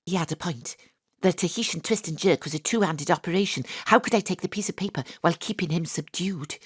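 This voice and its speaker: An actress playing a wry, deadpan, sardonic female private detective in a noir audio drama. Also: on the low side, a little fast, husky, no room sound, clean studio sound. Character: hard-boiled wisecracking female private detective, sardonic and impatient. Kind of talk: first-person noir narration in an audio drama.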